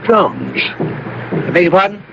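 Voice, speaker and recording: A man's voice: high voice, takes it slowly. A man playing a skeptical, polite professor of science in a radio drama, rational and genteel. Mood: curious. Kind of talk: 1980s radio drama acting. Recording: noisy, dull old radio broadcast.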